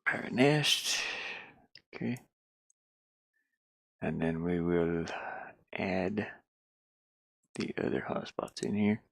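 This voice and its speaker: A man with a fairly low, gravelly voice talks himself through a game-building task. He goes very slowly, muttering in short, casual fragments. The sound is dry, with little room around it. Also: lively intonation, dry recording. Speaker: hobby game developer sharing a work session. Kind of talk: casual think-aloud screen commentary while working.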